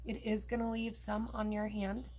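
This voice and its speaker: A woman hosting an art tutorial, explaining her steps in a relaxed, chatty way while she works. She is losing her voice. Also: medium pitch, normal speed. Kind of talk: casual step-by-step craft demonstration.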